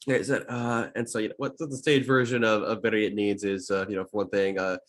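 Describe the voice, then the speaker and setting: Low-voiced man, halting casual talk. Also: flat delivery. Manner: halting, circles back over phrases. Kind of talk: casual panel chat.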